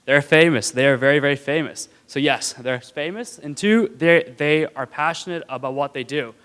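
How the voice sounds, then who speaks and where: A young man in his twenties speaks to an audience from a stage mic, his stutter catching on words and syllables as he pushes through. His voice is smooth and resonant, and it carries a long hall reverb behind it. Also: a little high, normal speed, fairly bright tone. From India. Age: 20s. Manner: earnest, lightly joking with the audience. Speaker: student speaker. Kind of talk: prepared stage talk. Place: auditorium stage.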